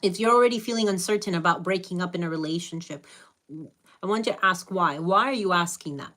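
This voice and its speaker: A woman's voice: on the low side, fast talker, bright, forceful tone. A woman with a Spanish accent, Spanish her first language, giving blunt, direct, motherly advice to viewers on a live stream.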